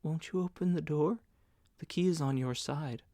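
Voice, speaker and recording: A man voicing a timid young woman typist in a soft, hushed, deferential way for an audiobook. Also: on the high side, moderate pace, no echo, clean studio sound, natural voice. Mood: hushed, gentle.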